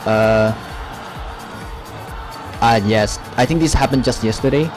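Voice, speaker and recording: A man's voice: medium pitch, slow pace, music in the background. A man from Hong Kong giving unscripted, explanatory interview answers, searching for words but speaking with emphasis. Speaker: interview guest, protest supporter.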